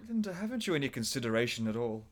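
A medium-pitched male voice from an actor as a stern husband at the end of his patience. He speaks with theatrical, weary indignation, in the manner of a radio horror melodrama. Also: big pitch swings, racing, close to an everyday voice. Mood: exasperated. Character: exasperated, stern, long-suffering husband.

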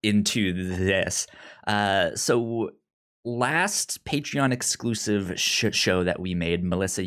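A man's voice: on the low side, fairly bright tone. A man hosting a podcast in a casual, conversational way, often stammering and repeating syllables as he goes. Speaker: podcast host.

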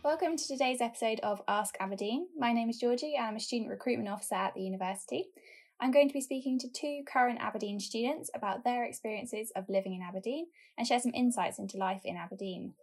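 A woman's voice: fairly high pitch, fast, a little mellow, faint music behind. A young woman hosting a university podcast, chatting in a friendly, casual way. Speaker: host, student recruitment officer.

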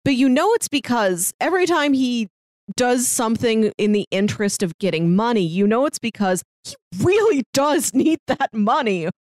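A woman's voice: fairly high pitch, big pitch swings, moderate pace, darkish tone, no room sound, clean studio sound. A woman co-hosting a podcast, casually discussing a story and acting out bits of dialogue as she retells it. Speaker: podcast co-host. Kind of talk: casual discussion of an audio drama.

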